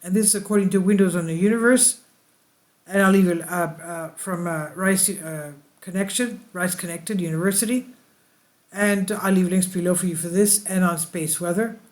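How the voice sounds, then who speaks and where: A host reading space weather news aloud in a halting way, stumbling over some words. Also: mid-range voice, steady tone. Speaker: video channel host.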